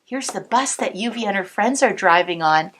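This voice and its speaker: A woman cantor reading a picture book aloud to children, pausing to explain the pictures, sometimes dropping to a whisper. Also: mid-range voice. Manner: slow, expressive storybook reading with soft whispered asides. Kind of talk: read-aloud storytime with asides to kids.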